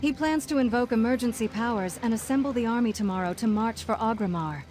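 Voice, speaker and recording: An actress playing an earnest, resolute mage leader in a video game, measured and authoritative. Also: female voice, a little high, a little mellow, close to an everyday voice. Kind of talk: video game character acting. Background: music.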